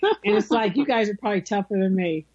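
Woman with a rough husky voice, co-host, upbeat, kinda muffled. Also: mid-range voice, animated, quick pace, a little mellow. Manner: upbeat, looks for the positive. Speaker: talk show co-host. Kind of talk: casual interview chat. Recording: dull lo-fi sound.

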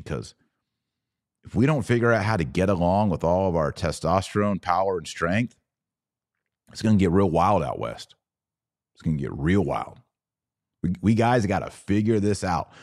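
A low-pitched male commentator delivers an emphatic solo monologue. His intonation rises and falls widely, with pointed rhetorical questions and weighty pauses. The sound is close and dry. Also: a little mellow, no echo. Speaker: podcast host and commentator.

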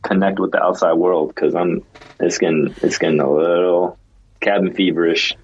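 Husky low-voiced man on a muffled call. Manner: relaxed, friendly, halting restarts. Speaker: remote podcast guest. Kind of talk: casual chat.

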